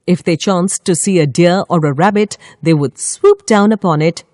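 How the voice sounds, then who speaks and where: Mellow, lowish-voiced woman telling a children's story. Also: moderate pace, no echo. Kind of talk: scripted children's story narration.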